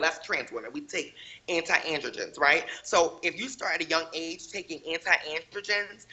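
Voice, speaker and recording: A woman's voice: low voice, bright, forceful tone, breathy edge, low-quality recording. A woman talking on a discussion panel, explaining emphatically and often checking in with "right?". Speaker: panel guest.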